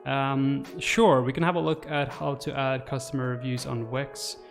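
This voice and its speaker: Young man thinking aloud, creaky voice. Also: mid-range voice, little pitch movement, normal speed, faint music behind. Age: 20s. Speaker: livestream host. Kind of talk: casual livestream narration while working. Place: small room indoors. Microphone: desk microphone close to the mouth.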